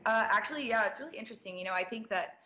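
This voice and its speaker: Chatty young woman with a slight rasp. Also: on the high side, rapid-fire, bright, forceful tone, a little hiss or hum. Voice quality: slightly raspy. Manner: chatty, fills speech with "like" and small laughs. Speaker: DJ and producer. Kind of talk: casual live-stream Q&A, reading viewer questions.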